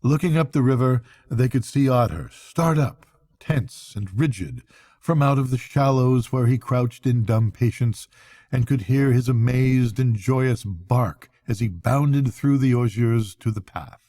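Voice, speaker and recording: An older man narrates a children's bedtime story. His voice is soft-edged and mellow, sitting at an average pitch for a man. The recording is dry, with little room sound. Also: unhurried, no room sound.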